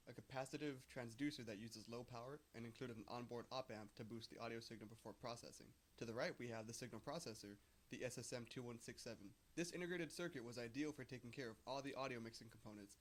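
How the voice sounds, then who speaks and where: Fast-talking young man presenting. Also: mid-range voice. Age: young adult. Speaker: engineering student presenter. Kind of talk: scripted technical presentation.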